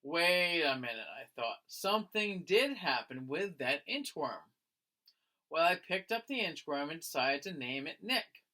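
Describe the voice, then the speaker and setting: A man's voice: a little high, very animated, measured pace, bright, forceful tone. A man reading a children's picture book aloud like a teacher, expressively and with friendly address to young listeners. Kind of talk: animated children's read-aloud.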